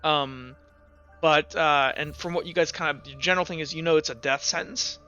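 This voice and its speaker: A man narrating a tabletop game as game master, improvising in a halting, stop-and-start way. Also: fairly high pitch, fairly even intonation, pushed and bright, smooth, lo-fi sound, soft background music. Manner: halting, filler-heavy storytelling.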